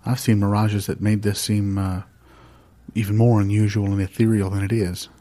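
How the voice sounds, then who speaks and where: A man improvising a comedy sketch in a deadpan manner. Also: fairly low pitch, normal speed.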